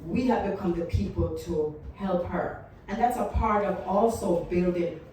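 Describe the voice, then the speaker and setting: Mellow woman in her fifties, echoey. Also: fairly low pitch, measured pace, a little hiss or hum. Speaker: panel guest. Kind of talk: panel discussion. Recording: echoey room, mic from a distance.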